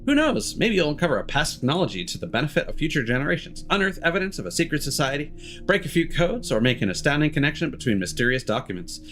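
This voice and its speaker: A man's voice: a little high, sing-song, fast, fairly bright tone. A man streaming a game, reading text aloud and adding cheeky, sardonic asides. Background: music.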